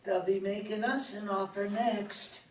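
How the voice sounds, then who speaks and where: A woman acting a sharp-tongued older farm wife in a play reading, folksy and dry, with an American accent. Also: mid-range voice, fairly even intonation, unhurried, natural voice. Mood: wry, concerned. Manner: folksy, tart rural speech. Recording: distant mic, echoey room, faint noise.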